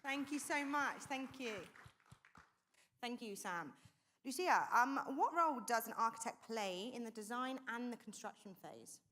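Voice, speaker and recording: A woman runs a panel discussion, speaking in an upbeat way as she guides the audience and introduces the speakers. Her voice sits a little high. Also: lively intonation, unhurried, pushed and bright. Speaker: panel moderator. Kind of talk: hosting a panel.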